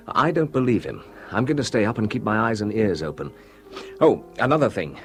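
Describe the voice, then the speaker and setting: An actor playing a curious young man on leave who senses something odd, in a radio play. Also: fairly low pitch. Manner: curious, quietly alert. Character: young male officer on leave, amateur sleuth. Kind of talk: radio drama acting.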